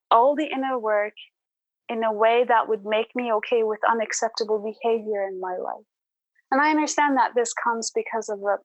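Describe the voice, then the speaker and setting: A woman's voice: a little high, no echo, low-quality recording. A woman podcast guest telling her personal story in an earnest, thoughtful, reflective way.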